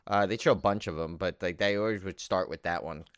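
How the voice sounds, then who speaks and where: Low-voiced American man, rambling and enthusiastic. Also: clean tone, no room sound, studio quality. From New York area, United States. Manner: rambling, enthusiastic, halting and circling back. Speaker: podcast co-host. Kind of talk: casual chat about horror movies.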